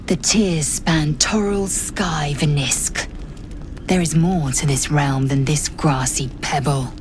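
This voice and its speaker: An actress playing a proud, stern alien warrior woman in a fantasy game, imperious, clipped and formal. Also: low voice.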